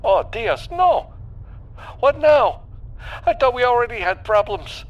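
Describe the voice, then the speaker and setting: A man voicing a panicky, squeaky ship mechanic who is in over his head, in a science fiction audiobook. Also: big pitch swings, takes it slowly, dull audio, heavily acted. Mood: panicked. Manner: nervous, pleading.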